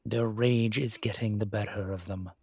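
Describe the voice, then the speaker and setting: Man playing a low, husky, weary incubus. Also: fairly even intonation, darkish tone, no room sound. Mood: earnest pleading. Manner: measured, halting, imploring with dry asides. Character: weary, earnest male incubus demon pleading diplomatically. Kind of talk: dramatic audio roleplay.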